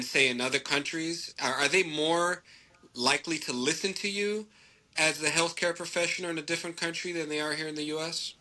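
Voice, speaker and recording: Host, man from Greece, bright and slightly high, curious questioner. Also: low-quality recording. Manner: inquisitive, thinks aloud in long questions. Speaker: host, dentist. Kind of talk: conversational interview.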